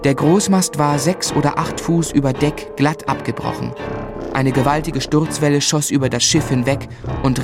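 A male actor plays a grown man recalling a tragedy in a radio drama. His mid-pitched voice is grave, shaken and measured, with a literary, dramatic delivery. Music runs clearly underneath. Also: close to an everyday voice. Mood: tense, urgent. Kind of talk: scripted literary narration in a radio play.

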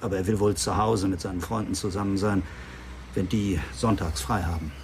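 An actor playing a hesitant, defensive clinic doctor in a German radio crime drama. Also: male voice, low voice, fairly even intonation, fairly quick, slight background noise. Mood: hesitant, explaining.

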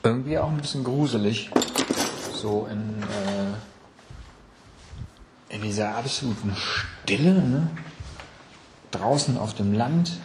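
A man muses hesitantly into a personal audio diary. He speaks slowly in a fairly low, creaky voice, with faint noise behind.

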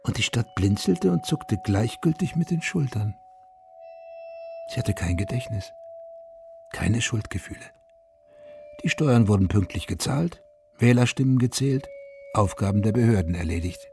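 An actor as the narrator of a melancholy radio drama, reading literary prose in a measured, wistful, gravelly way. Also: male voice, on the low side, animated, darkish tone. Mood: melancholy, detached. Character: male third-person narrator of a melancholy war story. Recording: music behind the narration. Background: music.

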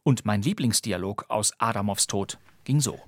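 A man's voice: fairly low pitch, moderate pace, no echo. A man hosting a podcast, reading scripted credits and announcements. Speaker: podcast host.

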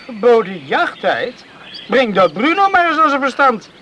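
A man's voice: extremely high pitch, sing-song, moderate pace, sharp tone, slight background noise, exaggerated voice. An actor playing a roguish, carefree man, an island drifter and poacher in a radio play, jaunty and teasing. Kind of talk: Dutch radio play acting.

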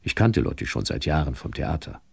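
A man's voice: rumbling low, big pitch swings, brisk, gravelly, slightly rough, no echo, exaggerated voice. An actor playing a world-weary theatre producer who tells his story in a dry, wry, reflective radio drama style. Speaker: narrator and lead actor. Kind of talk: radio drama narration and dialogue.

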